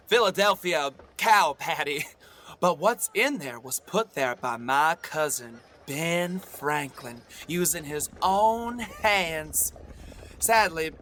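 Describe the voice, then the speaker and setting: Sly old-west swindler, high voice, slow and drawn out, boastful but stalling, audio drama. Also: male voice, bright, forceful tone, a little hiss or hum, heavily acted. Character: shifty, boastful frontier swindler man, quick to improvise lies.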